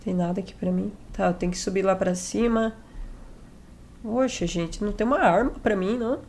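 A young woman hosting a gaming channel, chatting casually through a let's play on a headset mic, still a little hoarse from a cold. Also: mid-range voice, normal speed, darkish tone, slight background noise. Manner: chatty, jokey asides to the game characters. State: recovering from a cold, slightly hoarse.

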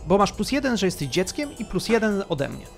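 Animated game master narrating, music behind. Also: mid-range voice, a little fast, creaky. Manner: vocal sound effects while narrating. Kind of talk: narrating a tabletop roleplaying game.

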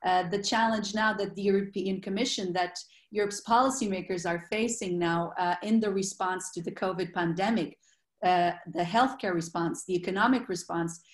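A woman's voice: mid-range voice, fairly even intonation, moderate pace. A woman moderating a formal online event, polite and using many filler sounds. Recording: roomy room sound.

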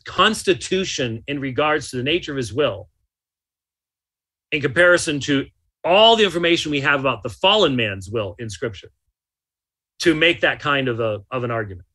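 An older man commenting from inside a small vehicle, talking with animated emphasis and frequent restarts. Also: medium pitch. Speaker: podcast host. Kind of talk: casual commentary.

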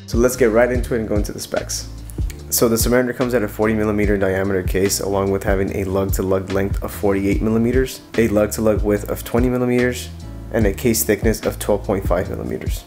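Man in his 30s, low voice, stuffy and nasal, casual chatty review, soft music underneath. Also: fairly even intonation, fast talker. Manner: chatty, enthusiastic, restarts often. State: nasal congestion from a cold. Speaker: watch reviewer. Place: living room with couch, evening lighting.

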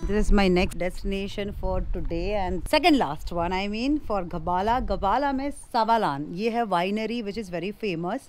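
A woman's voice: mid-range voice, darkish tone, a little hiss or hum. A woman in her thirties with an Indian accent, talking casually and chattily as a travel vlogger, mixing two languages and exclaiming as she goes. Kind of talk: casual travel vlog talk mixing Hindi and English. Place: on location at cafe terraces, streets and winery halls. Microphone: handheld camera at arm's length.

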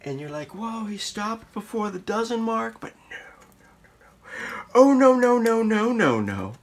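A man showing his handmade flower sculptures, muttering slowly and now and then breaking into excited exclaims, sometimes whispering, filmed in a dark blacklit room. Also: sits high. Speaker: artist showing his work. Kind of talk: casual off-the-cuff show-and-tell, partly whispered.

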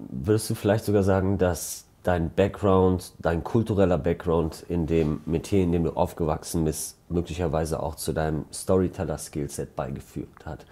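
Man in his thirties, low husky voice, podcast host, long formal questions, lapel mic. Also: dark tone. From Germany. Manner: elaborate, formal phrasing with many back-channel yeses. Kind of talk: interview questions. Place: hotel room with armchairs.

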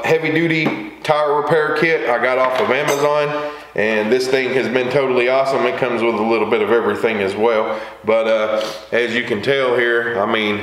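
A man's voice: mid-range voice, a bit bright. Man in an echoey room. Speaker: lawn care video host. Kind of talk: casual product walkthrough to camera. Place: small indoor workshop or garage with wooden shelving. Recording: echoey room, faint background hiss.

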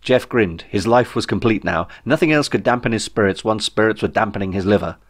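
A man's voice: lowish voice, brisk. A British man reading a comic novel as audiobook narration in a wry, droll way. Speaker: author reading own novel.

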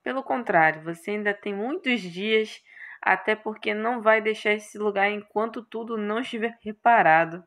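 Girl doing a calm horned magic guy for a game, dry, takes everything literally. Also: medium pitch, natural voice. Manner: measured, dry, unruffled.

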